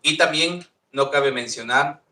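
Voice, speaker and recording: Mexican man, Nahuatl native, bright earnest panel speaker, heard from across an echoey room. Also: a little high, measured pace. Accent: Mexican Spanish. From Veracruz, Mexico. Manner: earnest, repeats himself, proud. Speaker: Nahuatl teacher and contest winner. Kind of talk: formal speech at a panel. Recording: echoey room, distant mic.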